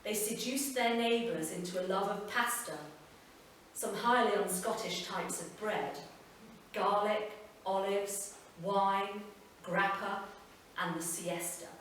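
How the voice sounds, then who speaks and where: Woman reading a story out loud at a live event, mid pitch, echoey hall, mic pretty far off. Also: fairly even intonation, slow pace, a little hiss or hum. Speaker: reader. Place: performance venue.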